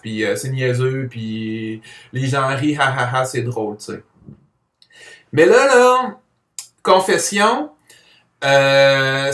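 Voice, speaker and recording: A man's voice: fairly high pitch, fairly bright tone. A man with a Quebec French accent and a mild, intermittent stutter, giving an earnest personal testimonial with emphatic repetition. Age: adult. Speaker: person who stutters giving a testimonial, comic book author. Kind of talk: personal spoken testimonial to camera. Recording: roomy echo, distant microphone.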